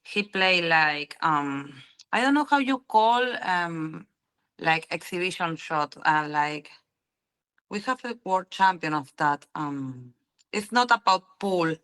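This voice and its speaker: A young woman from Argentina speaks English with a Spanish accent, slowly, in a low voice. Her tone is bright and forceful. She keeps pausing on "um" and "you know" while she looks for the words. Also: lively intonation, low-quality recording. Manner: halting learner's English, many ums and "you know". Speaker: interview guest, pool player. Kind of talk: casual interview answers.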